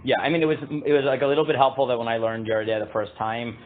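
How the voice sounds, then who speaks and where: A man leads a casual Torah class in a booming voice. He halts and restarts often, reading Hebrew text aloud before translating it. The sound is thin and tinny, like a phone line. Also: slight background noise. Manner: halting, many fillers and restarts. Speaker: rabbi teaching a class.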